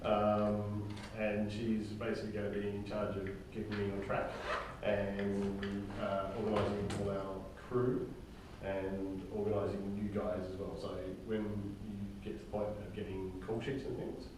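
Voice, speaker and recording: A man's voice: fairly low pitch, completely flat delivery, measured pace, few pauses. A middle-aged man informally addressing a group, with jokey, self-deprecating asides. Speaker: director and co-writer introducing a table read. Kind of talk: informal spoken introduction to a group. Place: indoor room with group seated on chairs. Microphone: distant microphone. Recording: echoey room from far off, loud background noise.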